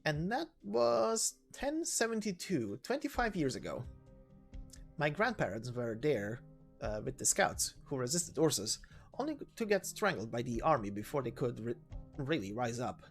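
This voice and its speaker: A woman's voice: a bass voice, very animated, moderate pace, faint music behind, heavily acted. A woman voicing a serious, troubled female former knight, a loyal aide who speaks earnestly in a game story. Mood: somber.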